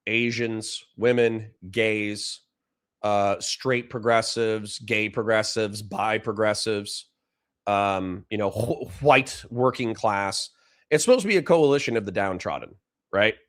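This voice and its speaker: Guy streaming with a dry flat-ish voice, blunt and sweary, lots of restarts. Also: medium pitch. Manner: blunt, profane, fast restarts. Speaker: livestreamer reacting to chat. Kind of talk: livestream political commentary.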